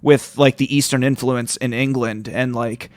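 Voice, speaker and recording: A man in his thirties hosting a casual literary interview, asking long, halting questions that circle around. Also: mid-range voice, fairly even intonation, no room sound. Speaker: podcast host, interviewer.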